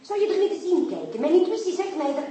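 A woman playing an inspector's sharp, intuitive partner in a radio drama, with dry, knowing asides. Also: extremely high pitch, big pitch swings, fast talker, reverberant, noisy background. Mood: insistent, wry. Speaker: actor in a radio play. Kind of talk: Dutch radio drama acting.

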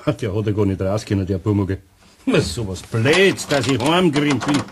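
A man's voice: lowish voice, lively intonation, a little fast, lightly acted. A man playing a good-natured, easily flustered middle-aged master craftsman in a radio play, with a Bavarian accent and stammering, rambling speech. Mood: puzzled, embarrassed.

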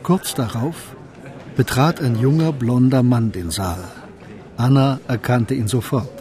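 A man's voice: mid-range voice, unhurried, dark tone, lightly acted. A man giving composed, literary storytelling narration in a radio drama.

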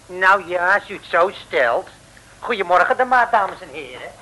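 High-pitched, officious police underling. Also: male voice, sing-song, moderate pace, bright, forceful tone, slight background noise, exaggerated voice, low-quality recording. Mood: flustered. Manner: formal, dutiful. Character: officious, fussy, deferential male police assistant. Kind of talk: 1950s radio serial acting.

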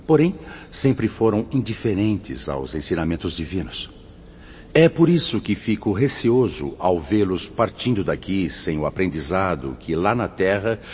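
An actor playing a fatherly spirit mentor in a radio drama, speaking measured, didactic Portuguese with a Brazilian accent. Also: low-pitched, a little mellow, slight background noise. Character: solemn, fatherly male spirit mentor guiding suicide souls. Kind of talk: scripted radio drama acting. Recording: muffled audio with music underneath.

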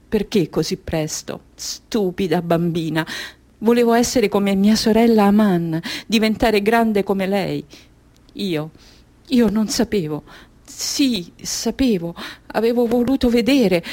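An actress playing an anguished Somali woman reliving childhood in a radio drama monologue, halting and repeating words like an incantation. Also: medium pitch, darkish tone, natural voice.

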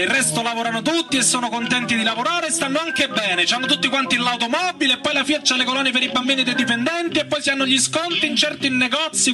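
A man acts out a pompous factory boss in a stage reading, very high and oratorical. The speech is condescending and falsely welcoming, slightly distant, with music playing behind it. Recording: distant mic under loud music. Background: electric organ and guitar music.

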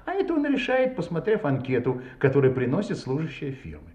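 Assured police inspector, very animated delivery. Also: male voice, fairly high pitch, echoey room, dull audio. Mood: confident, explanatory. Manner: theatrical, deliberate, emphatic. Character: shrewd, assured male police inspector unmasking a killer. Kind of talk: Russian audio drama acting.

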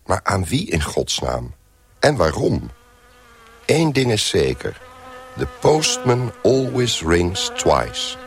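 Deep-voiced, hungover, wry male narrator. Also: huge pitch swings, takes it slowly, background noise, full character voice. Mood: wry, groggy. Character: hungover, bedraggled man recounting his misadventures. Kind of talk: first-person radio play narration.

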